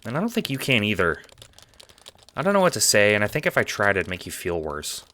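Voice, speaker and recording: A man voicing an earnest, steady young best friend in audio drama dialogue. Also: fairly low pitch, a little fast, exaggerated voice. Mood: gentle, reassuring.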